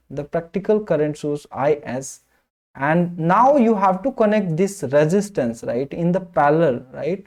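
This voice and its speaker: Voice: medium pitch, dark tone. Lecturer tagging every phrase with "right?". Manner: ends nearly every phrase with a questioning "right?" or "okay?"; repeats phrases and pauses with "uh" while explaining. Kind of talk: classroom lecture on circuits.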